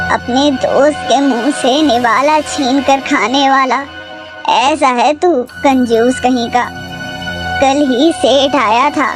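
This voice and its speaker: A woman voicing an exasperated, plain-spoken village mother in a cartoon, by turns scolding and pleading. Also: high-pitched. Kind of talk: Hindi cartoon acting.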